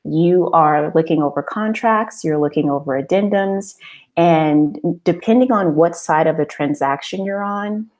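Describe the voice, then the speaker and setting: Low-voiced woman coaching a webinar. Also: measured pace, darkish tone. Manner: encouraging, conversational, with fillers and rhetorical questions. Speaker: webinar host and business coach. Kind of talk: informal webinar presentation.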